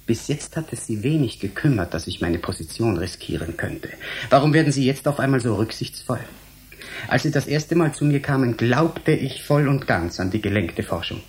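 An actor in a German radio drama playing a stubborn, principled scientist, speaking in an insistent, articulate way with a husky voice. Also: male voice, mid-range voice, lively intonation, moderate pace, darkish tone, slight background noise, lightly acted. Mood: indignant, principled. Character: stubborn, principled male scientist defying authority.

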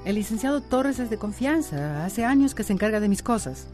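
An actress plays an independent, composed woman in a radio soap. Her voice is soft-edged and dark, reassuring, over faint music. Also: medium pitch, animated, a little fast, close to an everyday voice. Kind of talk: radio soap opera acting.

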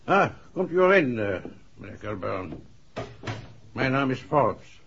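An actor playing an authoritative, impatient senior police chief in a 1960s Dutch radio play. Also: male voice, medium pitch, very animated, slow pace, vocal fry, a bit bright, a little hiss or hum, heavily acted. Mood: formal. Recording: dull, muffled vintage radio recording.

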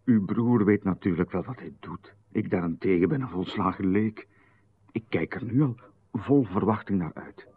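An actor playing a polite, nervously apologetic young artist in a radio drama, hesitant and stammering. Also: male voice, deep voice, moderate pace, husky. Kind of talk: 1990s radio drama acting. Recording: lo-fi radio broadcast, phone-like band.

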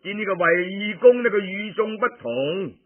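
A man with a Guangdong Cantonese accent telling historical tales in a theatrical, colloquial radio storytelling manner with drawn-out emphasis. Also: high voice, lively intonation, phone-quality sound.

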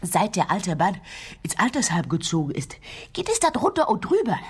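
An actress playing a nosy, scandalised female neighbour in a radio play, huffily complaining in a raspy voice. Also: mid-range voice, huge pitch swings, normal speed, heavily acted. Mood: indignant. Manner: huffy, complaining.

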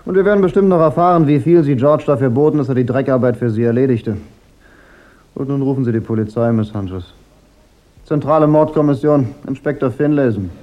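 A cool, sardonic private eye, played by a male actor with a weary confidence. He talks in a dry, measured radio-drama manner at a medium pitch. The recording is slightly dull. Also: natural voice. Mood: cutting, commanding. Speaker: detective and first-person narrator. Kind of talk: German radio crime drama acting.

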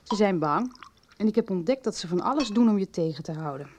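A woman's voice: medium pitch, expressive, darkish tone, a little hiss or hum, close to an everyday voice. An actress playing an earnest young woman confiding discoveries in naturalistic radio soap dialogue. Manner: confidential, deliberate.

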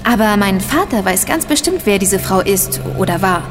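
A woman playing an earnest, sharp young amateur detective in an audio drama, direct and concerned. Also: medium pitch, expressive, moderate pace, lightly acted. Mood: thoughtful. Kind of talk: German audio drama acting. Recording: reverberant, music underneath.

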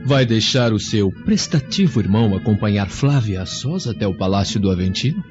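An actor playing a sly, needling Roman, mocking and insinuating, with a Brazilian accent in a radio drama. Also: male voice, lowish voice, lively intonation, normal speed, close to an everyday voice. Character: sly, needling Roman acquaintance stirring suspicion. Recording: dull lo-fi radio drama, music behind.

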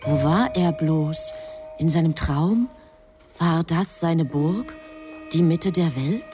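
A woman acting as the composed storyteller of a radio play, measured and deliberate in her narration. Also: low-pitched, warm tone, faint music behind. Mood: measured, suspenseful. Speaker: narrator, also playing an angel.

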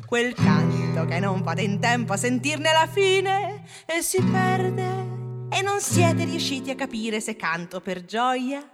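Woman singing high over loud music. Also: big pitch swings, unhurried, few pauses. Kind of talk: theatrical song performance, half sung. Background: loud guitar and violin music.

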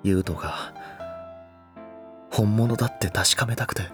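An actor voices a tall young man with a low voice, devoted to his lover. He speaks casually and intimately, gently insistent and tender, in the manner of a voice drama, with music behind him. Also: a little slow, natural voice. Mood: tender, yearning. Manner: casual masculine speech, soft and intimate. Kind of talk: Japanese voice drama acting.

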